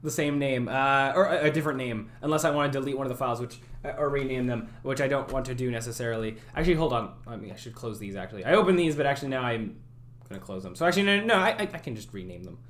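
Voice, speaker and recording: A young man streaming his game development, chatting casually and thinking out loud as he works, often correcting himself. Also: mid-range voice, lively intonation, fast talker. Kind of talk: casual live-stream chat while working.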